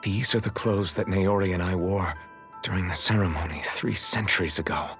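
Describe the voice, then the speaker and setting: A man's voice: low-pitched, steady tone, normal speed, fairly bright tone, soft background music. A man voicing an earnest, determined young hero in a fantasy game, resolute and reassuring in an English dub. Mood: grave, explanatory.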